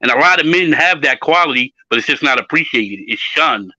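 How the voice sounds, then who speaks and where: Bright-voiced man, emphatic casual talk. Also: mid-range voice, lively intonation, brisk, no room sound. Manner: emphatic, repeats words when starting sentences. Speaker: chef. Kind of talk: casual opinion chat. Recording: dull, lo-fi audio.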